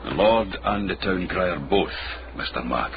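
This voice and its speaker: A wary fugitive in a period radio drama, his voice deep. He is sardonic and formal in his phrasing. The recording is muffled and heavy with noise. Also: expressive, a little slow. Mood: wry, uneasy.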